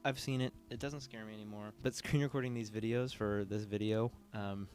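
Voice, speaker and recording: A man's voice: lowish voice, fairly even intonation, fairly quick, darkish tone, soft background music. A man hosting a video commentary in a casual, conversational, informal way. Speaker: video essay host.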